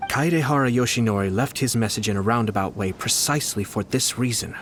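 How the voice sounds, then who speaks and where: A man playing a composed, calculating young nobleman in a video game, speaking in a measured, formal, deliberate way. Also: mid-range voice, a bit bright, a little hiss or hum, close to an everyday voice. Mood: solemn, resolute. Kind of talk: video game character dialogue. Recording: music behind the voice.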